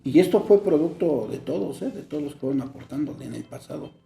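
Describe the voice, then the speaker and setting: A Mexican man, a local legislator, explaining his political views in a measured way. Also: on the high side, expressive, vocal fry, warm tone. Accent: Mexican Spanish. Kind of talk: political conversation. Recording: roomy echo.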